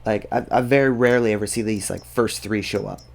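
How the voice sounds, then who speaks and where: A man's voice: lowish voice, steady tone, fast, gravelly. A congested, sniffly man giving rambling, casual commentary while playing a farming game, thinking aloud to himself and his chat. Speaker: gaming streamer.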